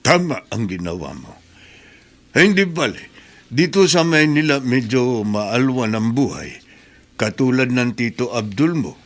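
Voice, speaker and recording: A man's voice: medium pitch, big pitch swings, a little slow, a bit bright. An actor playing a reassuring, fatherly middle-aged uncle who takes in his nephew, in a radio drama.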